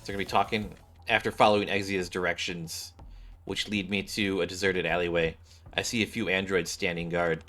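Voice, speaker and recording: A man's voice: low voice, little pitch movement, brisk, fairly bright tone, soft background music. A man streaming a game, reading its dialogue aloud and adding casual commentary.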